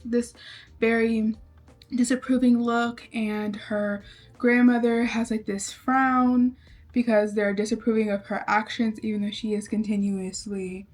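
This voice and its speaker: A young woman casually recapping a novel she is reading, chatty and rambling, with lots of fillers. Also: fairly high pitch. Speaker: book vlogger.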